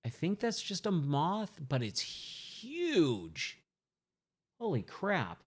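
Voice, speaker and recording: A hoarse man chatting casually while he streams himself playing pinball, thinking aloud and getting sidetracked mid-sentence. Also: high voice, wildly swooping pitch, drawn-out words. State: hoarse, scratchy voice. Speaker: game streamer. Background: game music.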